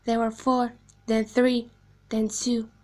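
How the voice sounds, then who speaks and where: An eerie animatronic clown girl from a video game, played by a woman, speaks in a high, girlish pitch. Her delivery is deliberate and hushed, sweet on the surface with a sinister undertone, like a secret being told slowly. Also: little pitch movement. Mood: wistful, eerie. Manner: slow, deliberate, hushed storytelling, dropping into whispers. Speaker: amateur voice actor. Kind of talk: video game character monologue.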